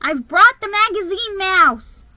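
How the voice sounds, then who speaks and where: A woman's voice: extremely high pitch, lively intonation, slow, bright, forceful tone, dry recording, pitched above the performer's own voice, exaggerated voice. A woman voicing a cheerful, reckless male Christmas elf in a storybook, exuberant and shrieky. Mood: eager.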